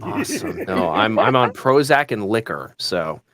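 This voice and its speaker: A man's voice: on the low side, lively intonation, moderate pace, heavy background noise. A man chatting casually on a panel as a voice actor, self-deprecating and joking. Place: indoors on a video call.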